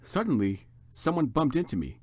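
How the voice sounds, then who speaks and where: A man's voice: fairly high pitch, sing-song, normal speed, husky, no echo, studio quality. A man giving a theatrical, mock-serious dramatic reading of fan fiction as the narrator. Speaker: reader.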